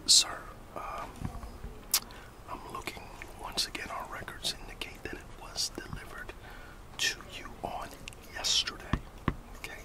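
A man's voice: unhurried, distant mic, low-quality recording. A middle-aged American man whispering a casual, grumbling ASMR roleplay as a gossiping office employee, in a conspiratorial manner.

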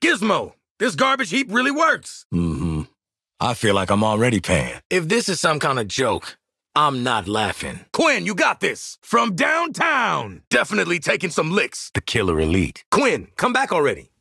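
A male actor playing a sardonic, confident mercenary marksman in a video game, with an American accent, husky voice and blunt, commanding manner. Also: fairly high pitch, wildly swooping pitch, moderate pace, pushed and bright, no echo, studio quality, heavily acted. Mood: sardonic, exasperated. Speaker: squad fighter. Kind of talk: video game combat voice lines.

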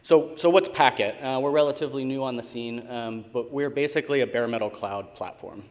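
A man's voice: medium pitch, brisk. An American man in his thirties giving a conference presentation in an informal, hesitant way, with frequent ums. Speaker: conference keynote speaker, tech company co-founder. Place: indoor conference stage in a large hall. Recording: reverberant hall sound from stage PA.

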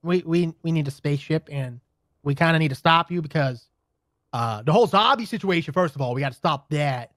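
A man's voice: a little high, a little fast, a bit bright, no room sound, studio quality. A man bantering playfully as a gaming video co-host, with a goofy, theatrical way of talking. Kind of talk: playful improvised gameplay banter.

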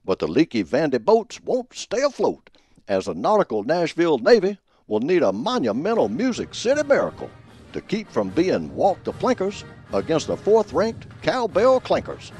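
A man performing as a folksy, pompous old football professor, giving grandiloquent scripted comic radio commentary in a husky voice. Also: a little high, wildly swooping pitch, moderate pace, a little mellow, no echo, faint music behind. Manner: folksy, grandiloquent alliterative delivery. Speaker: host of a college football prediction show.

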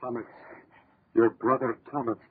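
An actor playing a vengeful dead brother, accusing and menacing, in 1930s radio horror style. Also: male voice, medium pitch, level tone, normal speed, close to an everyday voice. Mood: accusing menace. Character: vengeful dead brother risen as walking bones. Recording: muffled, noisy 1930s radio recording.

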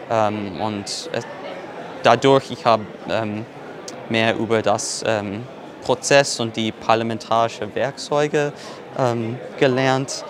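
A man in his twenties from the United States, a native English speaker with an American accent, giving an on-camera testimonial as an intern and searching for words. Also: mid-range voice. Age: 20s. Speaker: parliamentary intern. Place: large busy glass-walled lobby, indoors. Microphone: lapel mic. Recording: clear background noise of busy hall.

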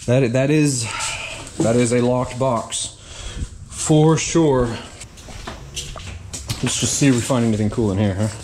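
A man talks his way through a storage unit haul in an unhurried, chatty voice, tossing off wry little asides. He is recorded on a head-mounted action camera in a metal-walled storage hallway. Plastic crinkling and rustling noise sits clearly behind him. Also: medium pitch. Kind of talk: casual vlog narration while unboxing. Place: indoor self-storage hallway, metal walls.

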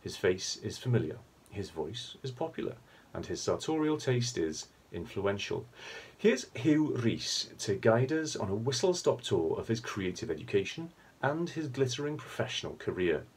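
A man's voice: on the low side, sing-song, moderate pace, slightly rough. A middle-aged man, reading a formal scripted introduction for a guest from his book-lined study. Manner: formal, read-out welcome. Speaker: event host introducing a guest. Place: study with bookshelves.

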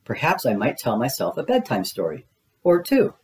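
A man voicing a gentle, simple little bear with a mild, earnest, childlike way of musing. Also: medium pitch, wildly swooping pitch, moderate pace, pitched above the performer's own voice. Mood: musing, content.

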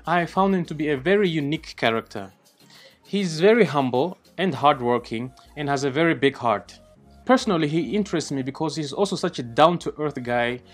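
Young man hosting, earnest and relaxed. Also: on the high side. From Rwanda, raised in the Netherlands. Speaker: host. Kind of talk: scripted intro, then casual interview. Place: indoor room by glass doors for the intro, rooftop terrace for the chat.

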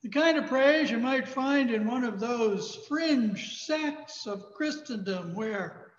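A man in his sixties with an American accent, a pastor preaching a sermon in a measured, emphatic way. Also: extremely high pitch, lively intonation. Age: 60s. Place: large church sanctuary, indoors. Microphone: thin headset microphone. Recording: echoey church hall, slightly dull sound.

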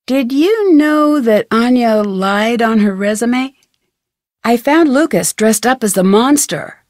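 An actress playing a curious, polite young female detective in an adventure game, asking questions in a clear, inquisitive way. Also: a little high, a little mellow, dry recording, clean studio sound. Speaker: video game protagonist. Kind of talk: scripted adventure game dialogue. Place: studio.

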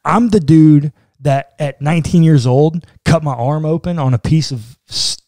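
A man in his thirties with a dark, mellow voice, co-hosting a relaxed podcast conversation. He muses aloud with plenty of "like"s, recorded close on a studio mic in a dry, small room. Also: fairly high pitch, moderate pace, no echo. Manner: reflective, talks it through with frequent "like". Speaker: podcast co-host. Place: small room indoors, seated in armchair. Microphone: boom-arm studio mic close in front.

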